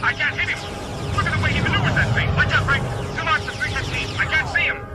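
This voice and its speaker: A man's voice: very high voice, quick pace. A man playing a frantic starfighter pilot in a science fiction audio drama, making urgent, clipped battle calls. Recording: loud music and aircraft roar behind. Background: loud music, aircraft noise.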